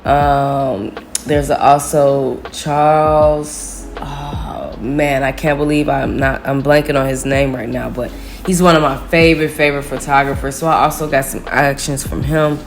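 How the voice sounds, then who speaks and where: Very deep scratchy-voiced woman with a cold. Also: measured pace. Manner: relaxed, many fillers and asides. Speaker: photo retoucher. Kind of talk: casual screen-recorded editing tutorial. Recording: echoey room with faint music.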